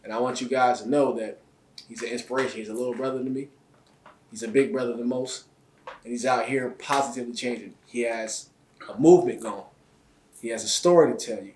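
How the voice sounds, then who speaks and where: A man hosting an interview show, opening with loud hype and asking casual questions in street slang. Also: mid-range voice, a little fast. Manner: loud hyped opener, then relaxed street slang. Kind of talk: casual hip-hop interview intro and questions. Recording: roomy, slightly distant mic with faint noise.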